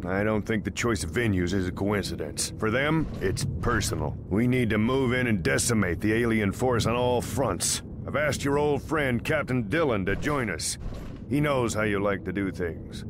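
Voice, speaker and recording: An actor playing a grave, earnest middle-aged military general giving an urgent, commanding briefing in a video game. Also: male voice, normal speed, lightly acted. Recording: rumbling engine noise behind.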